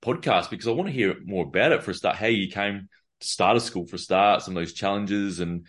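Male host, lowish voice, halting and filler-heavy interviewer. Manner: hesitant, many fillers. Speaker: podcast host. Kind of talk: interview conversation.

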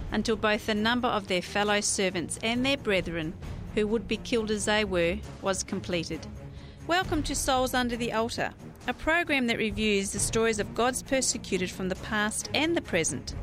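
A woman announcer giving a measured, solemn scripted reading of a program introduction. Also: medium pitch. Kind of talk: scripted reading over music.

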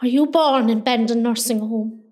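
A woman acting a nosy, warm-hearted older Irish mother from West Cork in a radio play, chatty and exclamatory. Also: fairly high pitch, moderate pace, natural voice. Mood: shocked.

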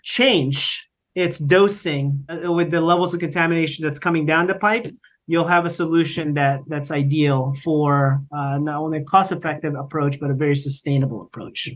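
A man's voice: a little high, steady tone, moderate pace. A middle-aged man from the Philippines, explaining his work in an interview with many fillers and restarts. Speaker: guest, company founder. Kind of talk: conversational interview.

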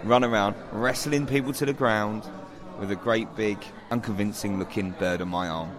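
A man's voice: on the low side, normal speed, crowd noise. A man from England co-hosting a theatre podcast, bantering and telling stories with mock-earnest drama. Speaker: podcast co-host, actor.

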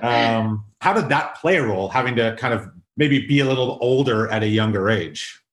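A man's voice with noticeable room echo, hosting an informal interview. He is from South Africa. He talks in a casual, halting way, often doubling back on his phrases, at an average pitch. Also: expressive. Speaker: podcast host. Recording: roomy, echoing sound.

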